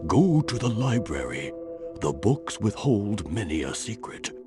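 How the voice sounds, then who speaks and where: A man's voice: on the low side, big pitch swings, a little slow. A man acting a solemn, cryptic witch doctor and guardian in a video game, with a grave, portentous delivery. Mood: grave, foreboding. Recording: game music behind.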